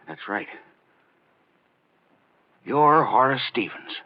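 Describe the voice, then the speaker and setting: A man's voice: mid-range voice, animated, extremely slow. An American man acting in a 1940s radio drama as a brash, bossy newspaper editor with a hard-driving manner. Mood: dawning shock. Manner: barking, bossy. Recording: tinny old 1940s radio recording.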